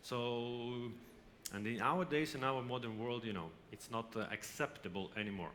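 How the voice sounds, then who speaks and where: A man from Lithuania gives a conference presentation, speaking haltingly with frequent hesitations and restarts. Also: medium pitch, fairly bright tone. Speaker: company representative presenting. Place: exhibition hall. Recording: reverberant hall, faint background noise.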